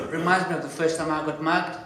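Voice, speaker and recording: A man with a South African accent compering a stand-up comedy night, dropping deadpan one-liners and chatting to the crowd from the stage. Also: on the high side, background noise. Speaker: comedy show MC. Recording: very echoey room.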